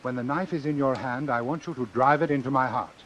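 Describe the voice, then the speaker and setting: A cold, calculating doctor acted by a man from an old suspense radio play. He speaks formally and precisely at a middle pitch, over faint recording hiss. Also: fairly quick, fairly bright tone, highs cut off, close to an everyday voice. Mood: calm, persuasive. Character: cold, calculating male doctor obsessed with his experiment. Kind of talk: vintage suspense radio drama narration and acting.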